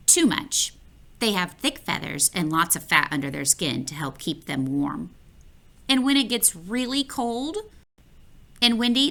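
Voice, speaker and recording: A woman reading a picture book aloud for children, playful and expressive, talking straight to the listener. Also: fairly low pitch, gravelly. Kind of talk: read-aloud storytelling for children.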